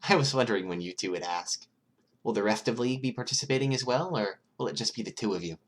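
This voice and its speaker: A calm young mastermind , played by a male reader, friendly yet calculating. He sounds supportive and businesslike, like an audiobook character talking shop. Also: mid-range voice, quick pace, pitched above the performer's own voice, natural voice.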